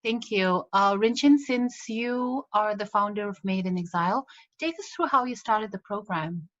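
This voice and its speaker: A woman's voice: medium pitch, moderate pace, fairly bright tone, dry recording, highs cut off. A woman hosting an interview, welcoming her guests in a friendly, prepared way.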